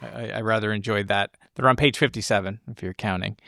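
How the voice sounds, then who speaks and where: A man's voice: on the low side, fairly even intonation, fairly quick, gravelly, bright, forceful tone, no room sound, clean studio sound. A man co-hosting a podcast, talking through a film in a casual, enthusiastic and rambling way. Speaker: podcast co-host discussing a film.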